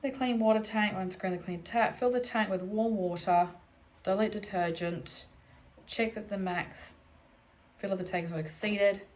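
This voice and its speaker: A woman with an Australian accent, croaky from allergies, chatting casually as she works through a DIY cleaning job at home. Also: medium pitch, steady tone, normal speed, darkish tone. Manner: thinking aloud, chatty, self-correcting. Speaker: homestead vlogger. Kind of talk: casual DIY vlog chat. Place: home, indoors.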